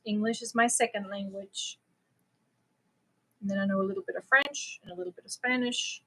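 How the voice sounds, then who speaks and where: A woman's voice: medium pitch, steady tone, brisk, bright, forceful tone, low-quality recording. A middle-aged woman from Germany, chatting casually with viewers as host of a crochet livestream and laughing easily. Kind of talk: casual livestream chat answering viewer comments.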